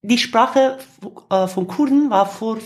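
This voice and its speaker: A fifty-year-old woman from Kurdistan with a Kurdish accent, giving reflective interview answers in halting, searching phrases as a non-native speaker. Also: fairly high pitch. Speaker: interviewed musician.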